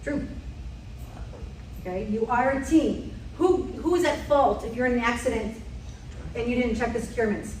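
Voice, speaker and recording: Woman trainer, fairly high voice, direct quiz style, mic far off in an echoey meeting room. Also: moderate pace, long pauses, darkish tone, a little hiss or hum. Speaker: safety trainer. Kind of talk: leading a staff safety quiz.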